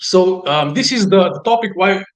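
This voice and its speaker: A man with a high-pitched voice and a Slovenian accent from his native language. He explains business matters in a halting, conversational way, often repeating words to drive a point home. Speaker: guest, business founder.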